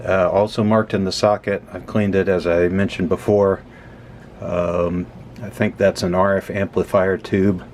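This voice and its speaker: Low-pitched man with a flat, even delivery. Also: a little hiss or hum. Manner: matter-of-fact, tentative, thinking aloud. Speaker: host of an electronics teardown video. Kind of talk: casual unscripted hands-on explanation.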